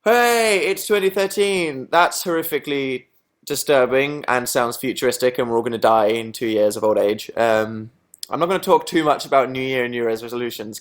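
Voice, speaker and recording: A young man hosting a casual livestream, reading viewer comments aloud and answering them with sarcastic, deadpan, self-mocking jokes. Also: mid-range voice. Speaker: livestream host.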